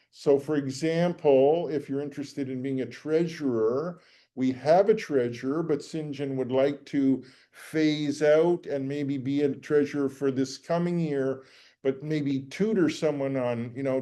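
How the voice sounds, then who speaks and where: A man's voice: medium pitch, warm tone. A man hosting a nature club meeting, giving casual announcements with lots of fillers and restarts. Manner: rambling with many fillers and restarts.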